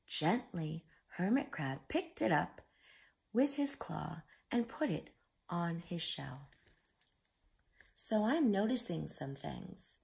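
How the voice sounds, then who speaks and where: A woman tells a children's story in an expressive read-aloud style. She takes her time, stretching words for emphasis, and her pitch moves widely. Her slightly low voice has a creaky edge. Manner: explains simply, with drawn-out emphasis. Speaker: children's story reader and teacher.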